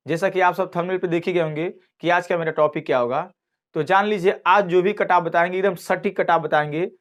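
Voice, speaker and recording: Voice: mid-range voice, fairly even intonation, brisk, dull audio. An exam-prep teacher giving an informal explainer, speaking emphatically and repeating key phrases with assuring tags. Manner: repetitive, emphatic, with recurring assuring tag phrases.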